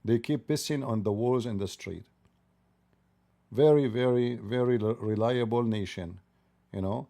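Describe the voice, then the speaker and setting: A middle-aged man with a dark, mellow voice. He needles and mocks, firing repeated pointed questions in a sarcastic livestream debate. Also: medium pitch, unhurried, gravelly, long pauses. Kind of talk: mocking, provocative debate talk.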